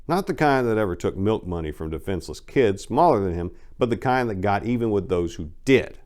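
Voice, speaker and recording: A man's voice: fairly low pitch, animated, a little fast, a little mellow. A man narrating a crime story as a dramatic audiobook reading.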